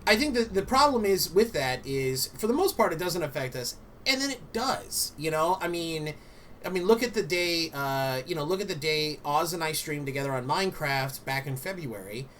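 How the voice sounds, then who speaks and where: Man, talk show co-host, animated storyteller, lots of fillers, faint noise behind. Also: fairly high pitch, normal speed. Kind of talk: casual chat.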